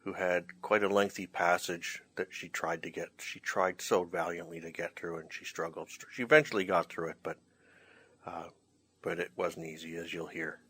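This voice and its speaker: A man with a very low, bright, forceful voice co-hosts a casual chat. He talks as a writer-director, feeling his way through sentences with modest, self-deprecating phrasing. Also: steady tone, moderate pace, slightly rough. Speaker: co-host, writer and director of an audio play. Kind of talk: casual hosted chat introducing out-takes.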